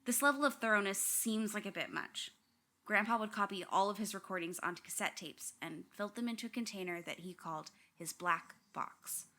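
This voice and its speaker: A woman's voice: mid-range voice, fairly quick, bright, forceful tone, natural voice. A young woman playing a candid, wry daughter haunted by family tragedy, narrating a radio drama. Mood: reflective, wry. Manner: reflective, wry. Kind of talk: first-person scripted narration.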